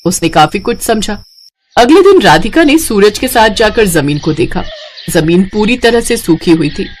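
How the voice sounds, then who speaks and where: A woman tells a scripted family moral tale. Her voice sits at a medium pitch, and she delivers it as story narration. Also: big pitch swings, normal speed, slight background noise.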